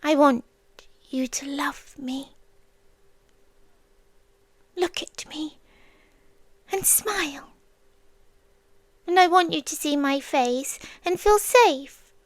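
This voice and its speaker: A British woman playing a possessive, obsessive female demon in an ASMR roleplay, soft and breathy, often whispering, coaxing with tender menace. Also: high voice, no room sound. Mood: softly menacing. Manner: slow, coaxing, sweetly menacing with long pauses.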